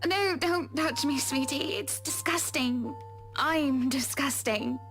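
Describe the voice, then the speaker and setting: A man voicing a genteel, affectionate wife in a visual novel, with a soft, endearing manner. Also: very high voice, takes it slowly, soft background music, voice pushed up, heavily acted. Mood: ashamed.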